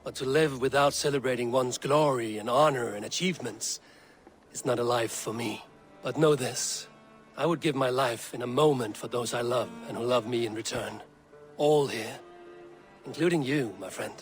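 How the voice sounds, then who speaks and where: An actor playing a proud, loyal Viking warrior in a video game, speaking in a measured, solemn and formal way. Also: male voice, mid-range voice, soft background music, close to an everyday voice. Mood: proud, sincere. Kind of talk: dramatic video game acting.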